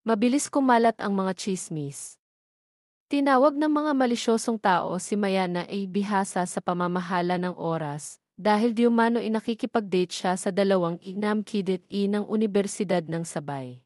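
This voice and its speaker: Female narrator for scripted romance audio stories, medium pitch, close dry recording. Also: normal speed, a little mellow, dry recording.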